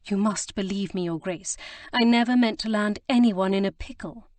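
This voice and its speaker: Woman playing an earnest, flustered young gentlewoman in a storybook reading, eager, stammering, medium pitch, dry recording. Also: moderate pace, no room sound, lightly acted. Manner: breathless, stammering, eager to explain. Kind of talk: audiobook character reading.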